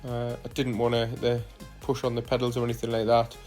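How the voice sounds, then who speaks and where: A man talks through his cycling footage in a relaxed, halting way, filling the gaps with uhs and ums. His pitch stays flat and even. Soft music plays quietly behind him. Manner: relaxed, hesitant with frequent fillers. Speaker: cycling vlogger. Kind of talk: casual voice-over narration of ride footage.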